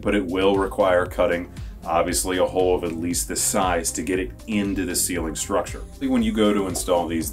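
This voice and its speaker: An American man in his thirties giving an enthusiastic, emphatic product review to camera as a store presenter. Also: sits low. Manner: enthusiastic, emphatic sales talk. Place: small indoor studio with dark backdrop.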